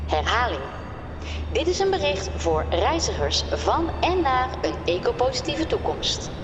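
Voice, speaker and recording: A woman playing a polite station announcer, reading a formal, measured public address message in a radio play. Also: high voice. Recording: echoey station PA, background noise.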